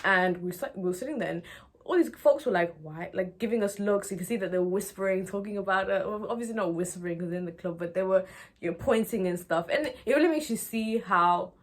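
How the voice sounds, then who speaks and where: A woman's voice: mid-range voice, fast talker. A young woman in her twenties from Nigeria, speaking candidly and expressively to her viewers in a conversational vlog style. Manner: conversational and expressive, often checking in with "you know". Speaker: video blogger. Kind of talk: candid personal talk to viewers.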